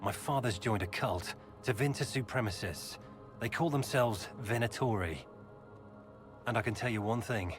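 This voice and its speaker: An actor playing an earnest, worried young nobleman in a video game, speaking in a measured way. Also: fairly low pitch, music in the background, close to an everyday voice. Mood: confiding, worried. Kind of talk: video game dialogue acting.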